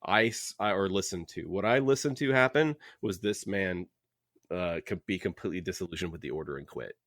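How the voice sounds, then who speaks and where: A man's voice: deep voice, brisk, fairly bright tone, no room sound, clean studio sound. A man co-hosting a book podcast in casual discussion, opinionated and circling back over his phrases as he talks. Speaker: podcast co-host.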